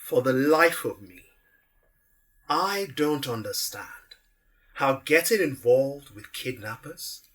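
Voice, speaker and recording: An actor playing a quietly angry, betrayed son in an audio drama, controlled and deliberate. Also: male voice, medium pitch, expressive. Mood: restrained anger.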